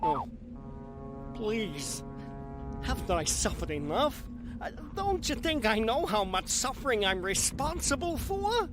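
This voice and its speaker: Game role: weak-willed debtor, stammering and desperate, very animated pitch, clear music underneath. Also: male voice, slow, exaggerated voice. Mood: anguished, begging. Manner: pleading, hesitant, stammering. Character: desperate, weak-willed failed amusement park owner. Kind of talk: dramatic video game acting. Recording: music behind the voice.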